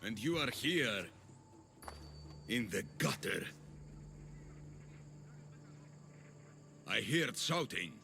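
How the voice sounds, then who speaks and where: An actor playing a smug, scheming Athenian politician in a video game, oratorical, menacing and condescending. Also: male voice, medium pitch, very animated, slow, creaky, noisy background, full character voice. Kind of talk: dramatic video game cutscene acting. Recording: music behind the voice.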